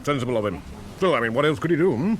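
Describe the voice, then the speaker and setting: An actor in a radio drama playing a vague, gossipy upper-class English gentleman who mutters, halts and clears his throat. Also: medium pitch, animated, lightly acted. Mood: gossipy, grumbling. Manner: muttering, halting, throat-clearing, tagging on eh and hmm.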